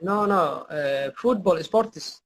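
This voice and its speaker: A man's voice: high-pitched, animated. Hesitant young man. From Somalia. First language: Somali. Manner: halting, hesitant English with many fillers. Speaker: university student, chat guest. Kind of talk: casual video chat.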